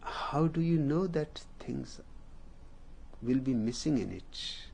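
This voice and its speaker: A man with an Indian accent, a native Hindi speaker, telling a story as a spiritual teacher in a deliberate, unhurried way with long pauses. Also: mid-range voice, lively intonation, vocal fry, darkish tone, breathy edge. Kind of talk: slow storytelling discourse.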